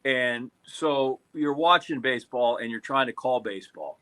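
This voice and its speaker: A man's voice: mid-range voice, normal speed, pushed and bright. A man asking questions as a co-host in a casual interview.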